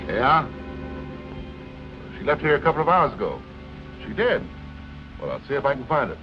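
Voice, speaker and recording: An actor playing a casual, helpful club employee in informal 1940s film noir style. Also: male voice, mid-range voice, wildly swooping pitch, normal speed, highs cut off. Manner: informal, colloquial. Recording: echoey vintage film soundtrack with music.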